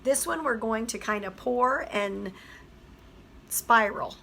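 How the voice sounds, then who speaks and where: A hoarse woman, a teacher, chattily explaining a craft project step by step. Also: fairly high pitch, expressive, slow, a bit bright, slight background noise. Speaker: craft tutorial host. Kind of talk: craft tutorial voice-over.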